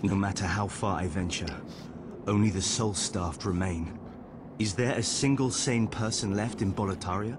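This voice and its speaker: An actor playing an earnest, formal royal knight in a fantasy video game, with courtly diction. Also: male voice, on the low side, moderate pace, background noise, close to an everyday voice. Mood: despairing.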